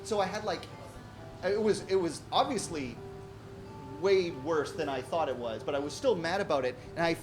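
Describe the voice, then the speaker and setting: High-voiced man telling a rambling story. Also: moderate pace, over music, poor audio. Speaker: host telling a personal story. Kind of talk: casual anecdotal storytelling.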